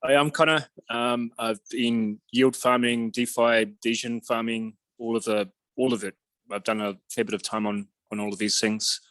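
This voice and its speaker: A man's voice: mid-range voice, level tone, moderate pace, fairly bright tone, dry recording, rough recording. A man giving a casual self-introduction at a meeting. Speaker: meeting participant.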